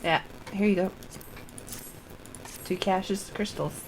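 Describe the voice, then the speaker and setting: A woman's voice: mid-range voice, steady tone, slow pace, noisy background. A woman casually commenting on her gameplay as a streamer, muttering to herself as she plays. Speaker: game streamer. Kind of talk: casual live gameplay commentary with read-aloud dialogue.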